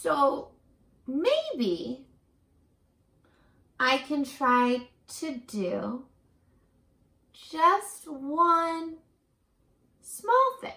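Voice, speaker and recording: A woman reads aloud to young children in a high, unhurried voice with some creak at the edges. She is gentle and encouraging, acting out the book and turning to the listener with questions, like a teacher with a class. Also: big pitch swings, roomy sound.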